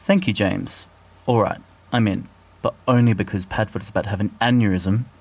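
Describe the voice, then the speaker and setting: Man as husky, sensible bookish schoolboy. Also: fairly low pitch, steady tone, darkish tone. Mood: considering, reluctant. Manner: dry, measured, reluctant. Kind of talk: amateur audio fan fiction reading.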